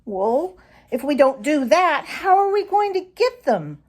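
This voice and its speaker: A woman's voice: high-pitched, very animated, measured pace, voice pushed up. A woman voicing a hungry, impatient young male fox who whines and grumbles in a children's storybook. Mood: grumbling. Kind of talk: children's storybook read aloud.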